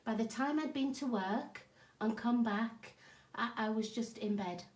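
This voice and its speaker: A woman with a croaky, hoarse voice hosts a craft live stream, chatting and greeting viewers by name. Her voice sits fairly high for a woman, and the home craft room around her sounds echoey. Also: measured pace. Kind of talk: casual live-stream chat.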